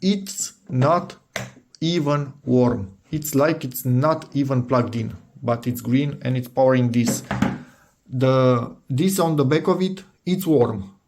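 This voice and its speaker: A man's voice: mid-range voice, takes it slowly, background noise. A man giving a casual, unscripted product demo, hesitating and thinking aloud as he goes. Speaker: DIY electronics video maker.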